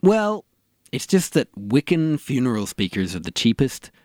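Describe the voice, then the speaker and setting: Lively-pitched casual guy played by a man. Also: measured pace, dry recording, studio quality. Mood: eager, flippant. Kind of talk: scripted comedy radio play.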